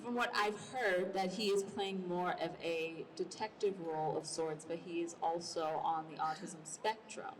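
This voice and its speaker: Low-voiced woman moderating a panel, distant mic. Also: normal speed, hardly any pauses. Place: large indoor convention panel. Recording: noisy convention hall, distant mic.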